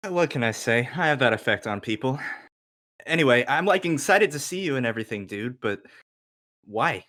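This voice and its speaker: An excitable, goofy teenage boy acted by a man at a script table read. His slangy, enthusiastic delivery swings widely in pitch. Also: moderate pace. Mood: excited. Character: excitable, laid-back teenage boy, loyal goofy best friend.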